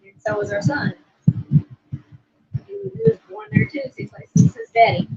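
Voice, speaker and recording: A woman's voice: very high-pitched, big pitch swings, moderate pace, warm tone, highs cut off, slight background noise. A woman from Oklahoma co-hosting a casual livestream, rambling and reading viewer comments aloud. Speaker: livestream co-host.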